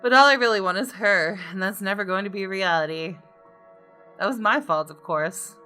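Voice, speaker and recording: A woman's voice: medium pitch, normal speed, bright, forceful tone, clean tone, close to an everyday voice. A woman reading the first-person narration of a jaded, guilt-ridden woman in a visual novel, in a dramatic confessional manner. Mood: wistful. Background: guitar game music.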